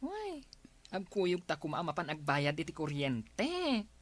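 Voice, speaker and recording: An actress with an Ilocano accent playing a chatty, nosy village friend in a radio comedy drama. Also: female voice, lowish voice, wildly swooping pitch, unhurried. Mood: chatty teasing.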